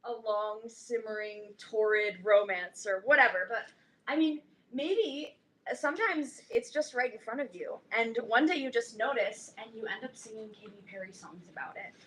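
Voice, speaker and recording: A woman playing an earnest, awkward teenage fangirl in a staged reading of a comedy, speaking haltingly with lots of filler "like". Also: a little high, fairly bright tone, slight background noise, close to an everyday voice, rough recording. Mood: earnest, dreamy. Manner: hesitant, halting, peppered with "like". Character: earnest, awkward teenage girl, obsessive TV-show fan.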